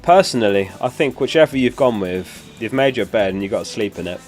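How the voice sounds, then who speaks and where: A man's voice: fairly low pitch, lively intonation, fairly quick, a little mellow, faint music behind. A young man hosting a live stream, chatting casually and answering questions from chat while getting over a lost voice. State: recovering from a lost voice. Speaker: streamer host.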